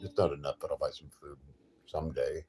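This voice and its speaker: A man's voice: a bass voice, fairly even intonation, brisk, over loud music. A man chatting casually on a livestream, drifting through musing, murmured asides. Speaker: livestream host. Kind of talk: casual rambling livestream chat over a played radio play.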